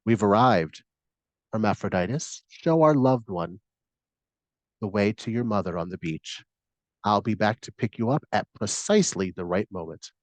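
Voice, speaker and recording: A man's voice: fairly low pitch, expressive, moderate pace, darkish tone, no room sound, lightly acted, low-quality recording. A man acts out a welcoming messenger god from Greek myth in a meditation story. He is a friendly father who guides and hosts, a little raised in energy, recorded close and dry. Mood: upbeat, welcoming. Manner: hospitable, instructing.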